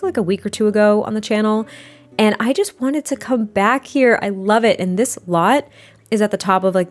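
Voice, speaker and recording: A young adult woman with a slightly hoarse, rough voice, chatting casually and rambling through a voiceover, sounding a little tired. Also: mid-range voice. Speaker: Sims speed build creator.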